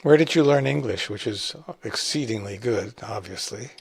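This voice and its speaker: Guy hosting an interview, raspy gravelly voice, long rambly questions. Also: lowish voice, normal speed. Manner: conversational, asks long winding questions. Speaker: interview host.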